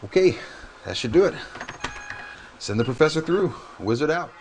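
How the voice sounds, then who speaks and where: An actor playing a frank, harried military hacker in a video game, talking in an informal, slangy way. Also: male voice, a little high, expressive, normal speed, darkish tone. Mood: concentrating. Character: frank, harried male military hacker running rebel teleport pads. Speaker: radio communications and teleport operator. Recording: noisy game audio with clicks.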